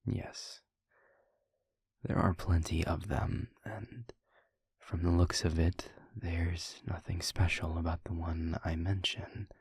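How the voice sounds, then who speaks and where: Guy doing a brooding demon for audio rp, super low and breathy, halting, guarded but protective. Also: flat delivery, no echo, clean studio sound, exaggerated voice. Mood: reluctant, somber. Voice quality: breathy, husky, half-whispered. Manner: slow, halting, formal phrasing with heavy pauses. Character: weary, brooding male demon, gravely guarded yet protective. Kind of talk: intimate scripted audio roleplay.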